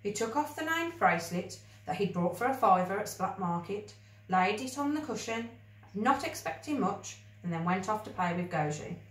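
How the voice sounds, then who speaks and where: A woman reading a children's story aloud in an expressive, scripted storybook style. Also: medium pitch, moderate pace. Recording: echoey room, distant mic.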